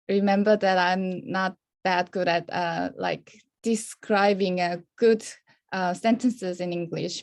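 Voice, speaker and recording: Slow, hesitant Korean-accented woman. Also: medium pitch, darkish tone. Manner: hesitant, many fillers. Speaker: discussion chair. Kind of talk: video call webinar. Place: her room at home. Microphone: video call.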